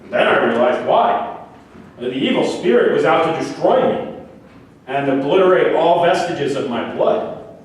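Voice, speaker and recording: An actor in a staged play reading, playing a delusional, defensive murder defendant who speaks earnestly and insistently, repeating words haltingly. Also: male voice, fairly high pitch, animated. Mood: insistent, troubled. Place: staged reading in an echoey room. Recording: echoey room, distant mic, faint noise.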